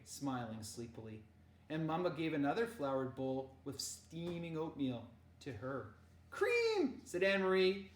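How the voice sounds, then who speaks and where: A woman reading a children's novel aloud in an animated storyteller's way, dramatizing the dialogue. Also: extremely low pitch, slight background noise. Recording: roomy echo.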